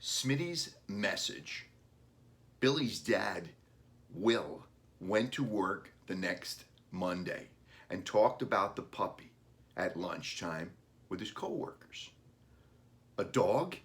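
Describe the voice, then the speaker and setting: A man with a deliberate manner, an author reading a storybook aloud for children. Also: sits low, expressive, a bit bright. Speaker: author reading own book.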